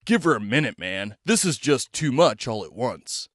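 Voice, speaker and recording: A man voicing a laid-back young man who speaks in a low, calm, soothing way. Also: normal speed, no room sound, clean studio sound.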